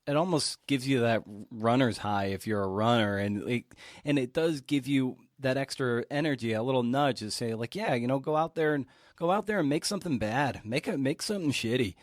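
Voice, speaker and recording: A man's voice: mid-range voice, a little fast, no room sound, clean studio sound. A man hosting a podcast in a casual, chatty way, with informal, slangy wording. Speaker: podcast host.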